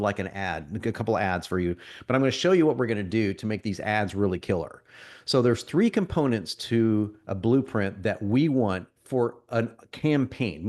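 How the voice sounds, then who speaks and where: A man getting over a cold, presenting a live marketing software demo to an audience in a conversational, salesy way, often restarting his sentences. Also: medium pitch.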